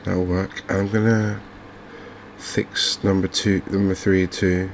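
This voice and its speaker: A softly spoken man with a low, gravelly voice gives ASMR-style game commentary. His delivery is very even and unhurried, as if thinking aloud, with faint noise behind him. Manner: soft-spoken, unhurried, murmuring to himself. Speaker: game streamer.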